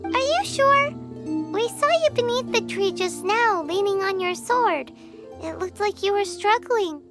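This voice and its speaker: A woman's voice: very high-pitched, animated, unhurried, a bit bright, soft background music, heavily acted. A woman voicing a tiny, chirpy, nosy floating female companion in a video game. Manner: bubbly, blunt and curious.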